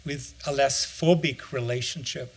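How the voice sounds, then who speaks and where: A man's voice: mid-range voice, steady tone, unhurried, gravelly, breathy edge. Reflective middle-aged man. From Brazil. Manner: reflective, searching for words. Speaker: author and anthropologist. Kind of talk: interview answer.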